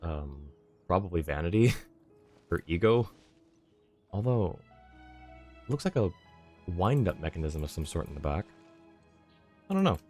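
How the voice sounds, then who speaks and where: A woman's voice: a bass voice, big pitch swings, a little slow, pauses often, a little mellow. A woman giving casual, wry game livestream commentary, musing aloud. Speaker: streamer. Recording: game music behind the voice.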